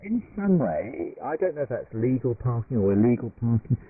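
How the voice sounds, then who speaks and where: Lively man on crackly shortwave radio. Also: mid-range voice, moderate pace. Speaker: radio panelist. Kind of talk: casual radio discussion. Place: radio studio. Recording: distant, noisy, tinny shortwave radio reception.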